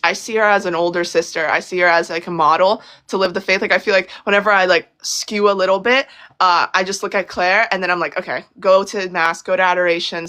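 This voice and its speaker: Young woman guest, Brazilian-born, bright lowish voice, gushing and rambling. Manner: chatty, effusive, many fillers and restarts. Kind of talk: casual interview chat.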